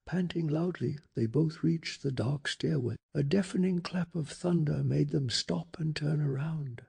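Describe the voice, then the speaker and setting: A man narrating a bedtime story in a soft-spoken ASMR style, with a breathy, hushed and dramatic delivery. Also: a little high, measured pace.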